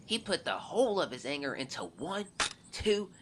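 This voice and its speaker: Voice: medium pitch, big pitch swings, moderate pace, heavy background noise, close to an everyday voice. An actor voicing a young boy who earnestly narrates events into his journal in a scripted audio drama. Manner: earnest, confiding, storytelling.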